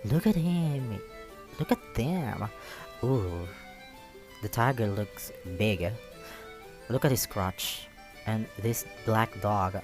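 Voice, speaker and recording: A man's voice: fairly low pitch, lively intonation, takes it slowly, pauses often. A man doing a casual visual novel let's play, reading aloud and reacting with cheeky, playful asides and often repeating himself. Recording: music playing behind the voice. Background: music.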